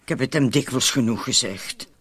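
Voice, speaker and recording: A man's voice: medium pitch, normal speed, a bit bright, breathy edge. A man playing an anxious, doubting accomplice in a radio drama, with a hesitant, questioning way of talking. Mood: reproachful. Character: anxious, doubting, wordy accomplice in a murder plot. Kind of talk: Dutch-language radio drama acting.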